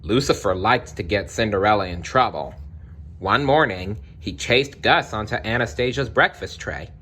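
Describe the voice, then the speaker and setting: A man narrating a children's storybook aloud in an expressive read-along style. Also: lowish voice, moderate pace, slight background noise. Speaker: storybook reader.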